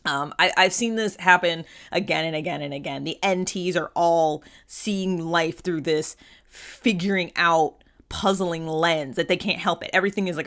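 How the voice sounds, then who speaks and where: Female host, slightly low voice, conversational and unscripted, explains ideas informally and slips into imagined quotes. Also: a bit bright. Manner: conversational, checks in with "right?". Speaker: host of a personality typology show. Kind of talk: casual unscripted explainer monologue.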